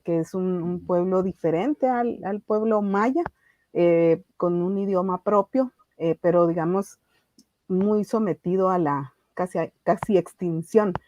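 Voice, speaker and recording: Woman, Guatemalan Spanish accent, unhurried, soft-edged mellow tone, halting reflective answers, slightly lo-fi recording. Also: on the low side, no room sound. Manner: thoughtful, halting delivery. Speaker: activist guest. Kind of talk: reflective radio interview talk. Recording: slightly dull, lo-fi audio.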